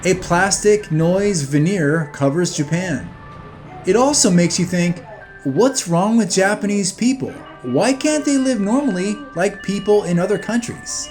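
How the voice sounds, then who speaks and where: A man's voice: high voice, expressive, a little slow, a little mellow, faint music behind. A man delivering scripted opinion narration in a blunt, emphatic, scornful manner. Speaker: video narrator.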